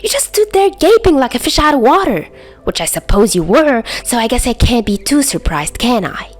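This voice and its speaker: A woman's voice: fairly high pitch, very animated, normal speed, soft background music, pitched above the performer's own voice. A woman voicing an indignant, combative teenage schoolboy in an audiobook-style story. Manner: accusing, combative. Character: heated, indignant teenage schoolboy, quick to bristle. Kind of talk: audiobook-style fan fiction dialogue.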